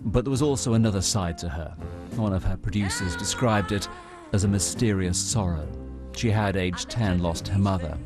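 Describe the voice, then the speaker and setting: Low-voiced British male reporter over music. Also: normal speed. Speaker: news reporter. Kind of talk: scripted news report narration.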